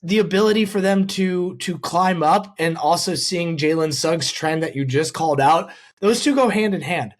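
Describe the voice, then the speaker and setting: A man's voice: on the high side, moderate pace, few pauses. A man hosting a casual sports podcast, talking with a fan's enthusiasm.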